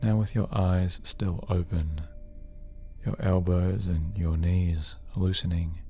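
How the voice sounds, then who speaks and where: A man narrating a guided sleep hypnosis session in a soothing, measured, deliberate way, as a hypnotherapist. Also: sits low, fairly even intonation, warm tone. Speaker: hypnotherapist host. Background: background music.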